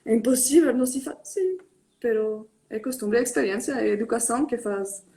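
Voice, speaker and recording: A young adult woman on a video call, talking casually in an interview and switching between Spanish and Portuguese with many pauses and fillers. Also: on the high side, dark tone. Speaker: tattoo artist guest. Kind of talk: casual online live interview.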